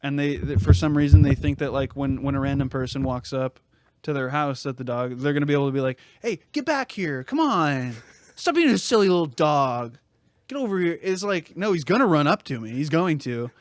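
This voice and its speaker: A man's voice: on the high side, animated, brisk, no echo. A man chatting casually as a podcast co-host, using slang and swearing in jokey exaggerations. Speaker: delivery worker. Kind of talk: casual joking podcast chat.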